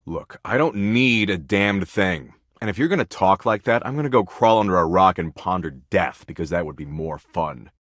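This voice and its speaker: A morose, sardonic man for a video game, with a very deep voice. He sulks and grumbles in deadpan, yet his pitch swings widely. The recording is dry and close. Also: normal speed, few pauses, no echo. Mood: sulky, grudging. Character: gloomy, sulky, sarcastic nihilist man, a living statue. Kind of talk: video game character acting.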